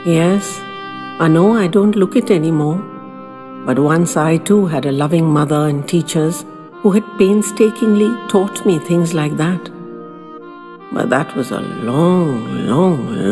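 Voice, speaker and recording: A woman narrating a first-person audio story in a wistful, theatrical and deliberate way. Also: fairly low pitch, warm tone. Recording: long reverb, music underneath.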